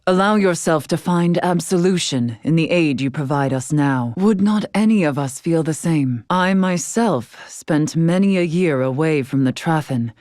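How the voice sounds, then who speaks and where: An actress playing a dignified, stern, scholarly woman in a fantasy video game, speaking in formal, archaic, measured phrases. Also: sits low, a little mellow, dry recording, studio quality, close to an everyday voice. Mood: solemn. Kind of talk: scripted video game character dialogue.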